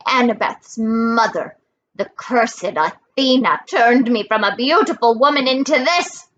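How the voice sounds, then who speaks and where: An ancient she-monster acted out by a woman from a storybook, playing the kindly old grandmother. She purrs and coaxes slowly, with menace underneath, on a muffled, faintly noisy recording. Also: a little high, expressive, fairly bright tone. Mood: coaxing menace. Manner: slow, purring, coaxing, menacing when angered.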